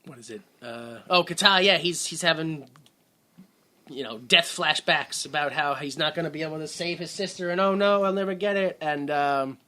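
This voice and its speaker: A man co-hosting a movie podcast, recapping the plot in casual chat, animated, laughing often and acting out characters in quick asides. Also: on the high side. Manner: animated, swings between quiet mutters and loud outbursts. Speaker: podcast co-host.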